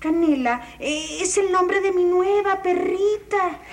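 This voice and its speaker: Una mujer que hace de una señora mayor y cariñosa que habla con voz aguda y suplicante, sobre un zumbido de fondo. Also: female voice, very high-pitched, slow pace, noisy background, exaggerated voice. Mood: pleading.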